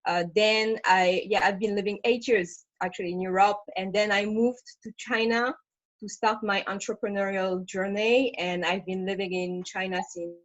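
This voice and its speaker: A woman's voice: mid-range voice, fairly even intonation, normal speed, dry recording. Woman from senegal on a webinar, lots of uh and um, muffled dry call audio. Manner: hesitant, with frequent fillers. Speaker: webinar panelist, managing director. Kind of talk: spontaneous webinar talk.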